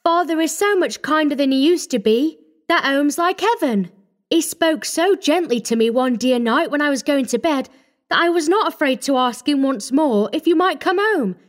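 A man reading an audiobook, voicing an eager, joyful little girl who pleads excitedly. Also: very high voice, moderate pace, pitched above the performer's own voice. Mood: gleeful.

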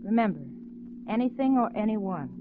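An actress playing a loving but suspicious, possessive wife with quiet menace, probing and intense, in 1940s radio acting. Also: female voice, mid-range voice, animated, normal speed, loud music behind the voice, close to an everyday voice. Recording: dull, noisy old radio recording.

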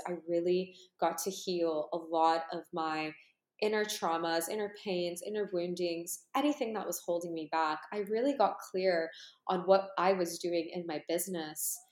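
A woman's voice: lowish voice, fairly even intonation, normal speed, darkish tone. Earnest, rambling woman in a roomy space. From Canada. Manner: earnest, rambling, heavy with fillers like "you know" and "like". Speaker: life coach and retreat host. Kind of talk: personal monologue to followers. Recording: roomy echo.

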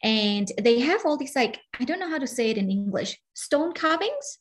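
Higher-pitched woman from Burma telling her story. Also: very animated, moderate pace. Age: 30s or older. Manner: conversational, quotes imagined dialogue, says "like" often. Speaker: podcast guest, coach and entrepreneur. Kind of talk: casual personal storytelling in an interview.

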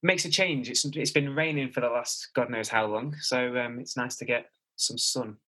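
Bright-toned Mancunian man chatting. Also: medium pitch, steady tone, moderate pace. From Manchester, England. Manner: rambling with frequent restarts and fillers. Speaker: guest, personal trainer. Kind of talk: casual interview chat.

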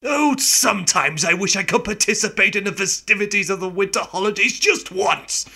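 A man playing a self-pitying mad scientist villain in a radio play, grumbling theatrically in a raspy voice. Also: sits high, moderate pace, bright, forceful tone, full character voice. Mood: self-pitying, gloomy. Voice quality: gravelly, raspy. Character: whiny, melodramatic middle-aged mad scientist villain. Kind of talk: amateur radio play acting.

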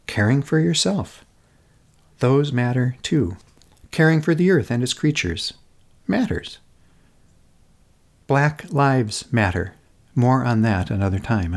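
Reflective man with expressive, lively intonation. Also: medium pitch. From Minnesota, United States. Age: middle-aged. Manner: earnest, contemplative, rhetorical questions, deliberate phrasing in short pieces. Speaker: podcast host. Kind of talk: scripted reflective personal essay narration.

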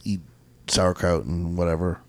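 A man with a somewhat low voice chats as a podcast co-host. His talk is casual and meanders, with halting starts as he gets to his point. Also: fairly even intonation. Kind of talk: casual banter.

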